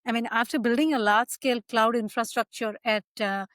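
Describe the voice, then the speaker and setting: A woman's voice: a little high, fairly even intonation, a little fast, fairly bright tone, dry recording, studio quality. A woman hosting a podcast, asking questions in a friendly, conversational way. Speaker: podcast host. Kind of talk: interview hosting.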